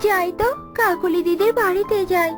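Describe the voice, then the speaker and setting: Woman voicing a small, very high-pitched cartoon bird. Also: fairly even intonation, normal speed. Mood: worried. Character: small wronged female bird, pleading and insistent. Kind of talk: children's cartoon voice acting. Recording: music behind the voice.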